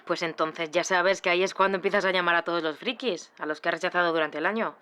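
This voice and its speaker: An actress playing a middle-aged female TV production chief, answering an interviewer in a brisk, colloquial way. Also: lowish voice, steady tone, sharp tone, smooth. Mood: condescending. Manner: colloquial, matter-of-fact, slightly condescending.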